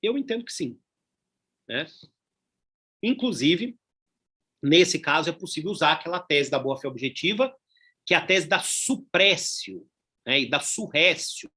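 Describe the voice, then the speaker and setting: Husky-voiced Brazilian man lecturing law. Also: a little high, expressive, measured pace, pauses often, bright, forceful tone. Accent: Brazilian Portuguese. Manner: conversational, frequent tag questions, asides. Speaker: law professor.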